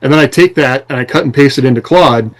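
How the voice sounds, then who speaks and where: A man's voice: medium pitch, fast talker. An American man talking casually as a podcast host, explaining things conversationally with examples and quoted lines.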